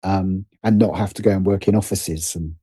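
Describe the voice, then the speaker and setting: British man, middle-aged, low mellow voice, hesitant, self-deprecating, casual interview chat. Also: fairly even intonation, normal speed, husky, dry recording, studio quality. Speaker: podcast guest, writer and producer.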